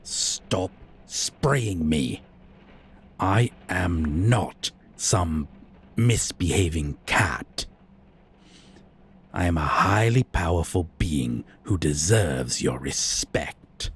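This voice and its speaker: A haughty vampire captor, acted by a man in an ASMR roleplay, speaks in an extremely low voice at an unhurried drawl. He is theatrical, archly formal and condescending, with sadistic menace. Clear background noise sits behind the voice. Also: animated, full character voice. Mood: exasperated.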